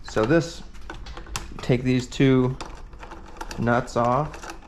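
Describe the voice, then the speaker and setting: A man's voice: medium pitch, steady tone, very slow, a little hiss or hum. A man giving a casual hands-on repair tutorial, rambling with fillers and thinking aloud as he works. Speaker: competitor explaining a repair event.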